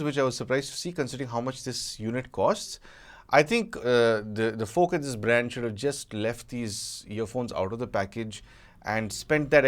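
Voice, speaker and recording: A man giving a conversational product review as a tech reviewer, measured and often pausing or repeating words as he goes. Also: mid-range voice.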